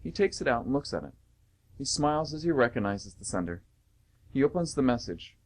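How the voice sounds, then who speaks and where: Narrator, male, mid-pitched, lively intonation, scripted stage directions for radio drama. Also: fairly quick.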